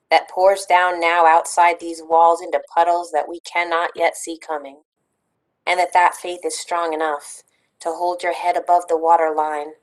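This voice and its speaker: A woman reads a poem in a steady, measured way. Her voice is low and stays level, with little rise or fall. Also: low-quality recording. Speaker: student poet. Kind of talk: reading a poem aloud.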